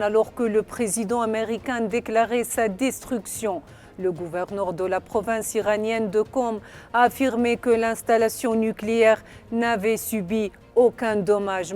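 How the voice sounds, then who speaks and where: A woman's voice: a little high, fairly even intonation, a little slow, a little mellow. Female news narrator over music. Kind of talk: scripted news report narration. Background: background music.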